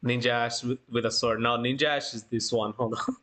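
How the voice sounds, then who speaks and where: A man hosting a casual livestream chat, talking informally in a halting way and often repeating himself. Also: medium pitch, steady tone, fairly bright tone. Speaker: livestream host.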